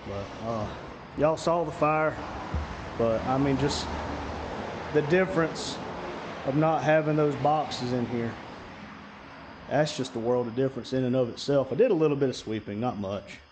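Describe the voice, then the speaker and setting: A man's voice: mid-range voice, moderate pace, background noise. A man, giving an easygoing walkthrough of his garage for a vlog. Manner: easygoing, drawling, folksy. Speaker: channel host. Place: large garage service bay. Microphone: action camera.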